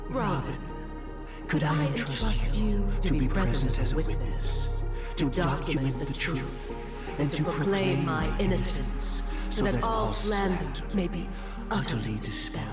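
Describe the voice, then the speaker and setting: An actor playing a stately, pious elderly family patriarch, speaking with solemn grandiloquence in a video game. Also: male voice, fairly high pitch, expressive, measured pace. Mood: solemn, assured. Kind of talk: dramatic video game voice acting. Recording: loud music behind the voice. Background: loud game music.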